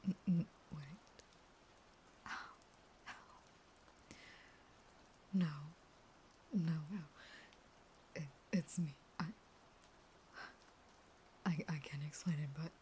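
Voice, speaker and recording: Woman acting a low, whispery, unstable vampire wife. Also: dark tone, a little hiss or hum. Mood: shaken, remorseful. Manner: halting, stammering pleas in whispers. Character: starving feral vampire wife, pleading, manipulative, unstable. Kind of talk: intimate audio roleplay acting.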